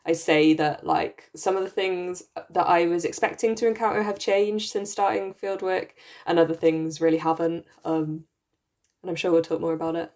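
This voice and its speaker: A young woman from Wales talks in a Welsh accent, casual and unhurried, with plenty of ums and likes as she explains her research. Her voice sits low and stays level, with little rise and fall. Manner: relaxed, lots of ums and likes. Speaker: podcast co-host, PhD researcher. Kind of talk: casual chat.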